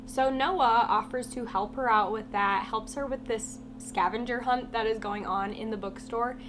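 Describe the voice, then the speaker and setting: Stuffy-nosed woman with a cold, a bit high, talking frankly and casually over a constant hum. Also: moderate pace. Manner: chatty and blunt, many "like" fillers. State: congested with a cold, voice partly lost. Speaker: book reviewer YouTuber. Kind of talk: casual book review vlog. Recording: steady humming background noise.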